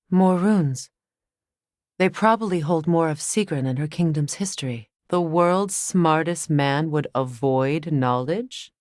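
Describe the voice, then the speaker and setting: A woman voicing a composed, wry, middle-aged warrior goddess, a measured and knowing companion in a video game. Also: low-pitched, darkish tone, dry recording, clean studio sound.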